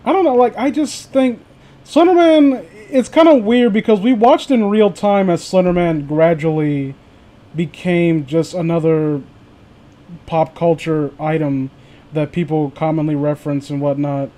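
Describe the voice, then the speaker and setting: A man's voice: on the high side, unhurried, mellow. A man hosting a livestream, chatting casually with friends and viewers in a sardonic, profane way. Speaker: livestream host.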